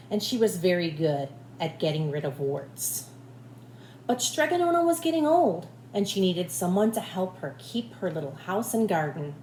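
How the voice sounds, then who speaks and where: A woman reading a storybook aloud like a teacher, with expressive, deliberate phrasing for children. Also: fairly low pitch. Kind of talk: storybook read-aloud narration. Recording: echoey room, faint background noise.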